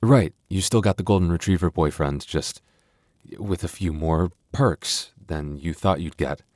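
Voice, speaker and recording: Young male werewolf boyfriend , acted by a man: deep, soft, hesitant, protective and affectionate; intimate ASMR roleplay, dry recording. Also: unhurried, dry recording. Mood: sheepish, reassuring.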